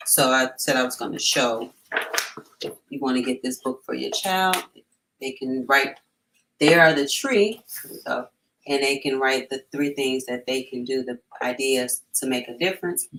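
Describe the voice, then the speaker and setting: A woman's voice: a bass voice, measured pace, a bit bright, slight background noise, low-quality recording. A woman hosting a storytime, reading aloud and chatting informally and encouragingly to families. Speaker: storytime host.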